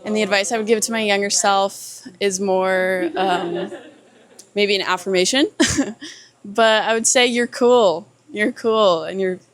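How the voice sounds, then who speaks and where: A woman's voice: medium pitch, fairly even intonation, unhurried, crowd noise. A woman of twenty-two giving playful, giggly advice. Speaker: trip participant.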